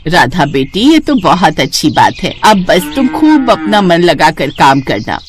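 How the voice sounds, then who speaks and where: A woman voicing a kindly old village milk seller with a gentle, caring manner in a cartoon story. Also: lowish voice, sing-song, unhurried, music in the background. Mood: encouraging.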